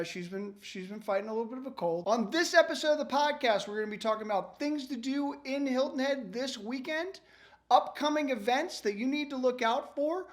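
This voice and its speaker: A man hosting a local podcast, reading an upbeat intro and then chatting casually with his co-host. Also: very high-pitched. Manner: upbeat scripted intro, then relaxed chat.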